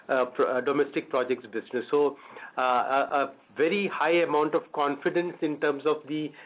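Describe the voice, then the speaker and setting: Give the voice of a male company executive on an earnings call. His pitch sits a little high for a man, and he speaks haltingly with repeated words. The audio is narrow, like a phone line. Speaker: company executive answering analysts. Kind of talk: earnings call question and answer. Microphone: phone or conference line.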